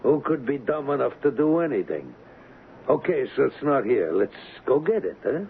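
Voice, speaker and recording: A man playing a cocky, wisecracking gangster in an old-time radio crime drama, slangy and taunting, with rough grammar. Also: medium pitch, very animated, moderate pace, narrow, tinny audio. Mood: cocky, menacing. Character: cocky, wisecracking street-tough gangster hired as an agent. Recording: thin, band-limited, hissy old radio recording.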